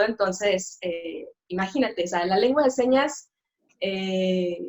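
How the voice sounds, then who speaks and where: A middle-aged woman speaks on a video call, pausing often with fillers and restarting her sentences. Her voice sits at a middle pitch for a woman. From Mexico. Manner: hesitant, many fillers and restarts. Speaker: sign language interpreter, guest. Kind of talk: live-stream interview, casual chat.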